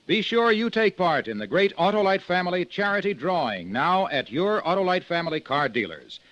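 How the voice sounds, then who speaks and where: High, very animated radio pitchman. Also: normal speed, highs cut off, loud music behind the voice. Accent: American. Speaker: commercial announcer. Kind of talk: 1950s radio advertisement.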